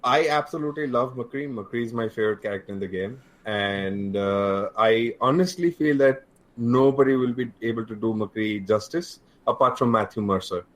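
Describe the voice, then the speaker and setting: A man's voice: medium pitch, normal speed. A man speaking casually as a panelist in a group chat. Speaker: podcast panelist.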